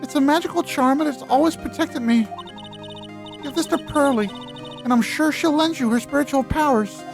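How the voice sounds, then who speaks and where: A woman's voice: high-pitched, normal speed, music in the background, pitched above the performer's own voice. A woman voicing a distraught, guilt-ridden teenage girl, a fragile spirit medium in a video game, earnest and emotional.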